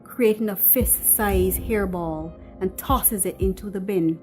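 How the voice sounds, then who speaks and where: Slow, measured woman narrating a screenplay. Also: medium pitch, darkish tone, faint music behind. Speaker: script reader, narrator.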